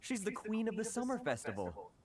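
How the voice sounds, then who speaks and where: A woman's voice: mid-range voice, huge pitch swings, a little fast, crowd noise. A woman playing a polite, earnest townsperson in a video game. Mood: earnest, grateful. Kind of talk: English video game dub acting. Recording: game music behind the voice.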